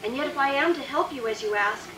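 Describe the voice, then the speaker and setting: A woman playing a solemn, fearful doctor in a radio drama, halting, dramatic and intense. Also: high voice, close to an everyday voice. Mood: solemn, hesitant. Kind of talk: theatrical radio drama acting. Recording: noisy, echoey, distant radio recording.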